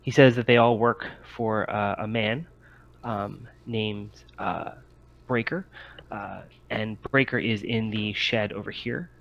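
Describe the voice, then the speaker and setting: A man narrating a tabletop game as game master, in a halting, hesitant way with many pauses. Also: on the low side, steady tone, vocal fry, fairly bright tone, muffled recording.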